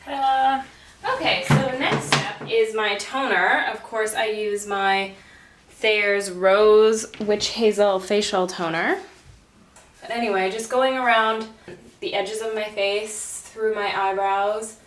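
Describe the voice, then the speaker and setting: A young woman with a stuffy, congested voice chats casually and self-deprecatingly to camera. She sounds set back from the mic in a roomy bathroom. Her pitch sits in a middle range. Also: fairly even intonation, slow, a bit bright. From Canada. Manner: chatty and self-deprecating, laughs at herself. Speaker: beauty vlogger. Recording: roomy, slightly distant audio.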